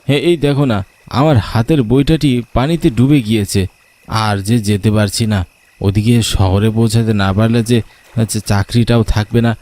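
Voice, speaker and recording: A man's voice: on the low side, fairly even intonation, moderate pace, darkish tone, no room sound, natural voice. A man voicing an anxious stranded traveller pleading urgently for help in a horror cartoon story.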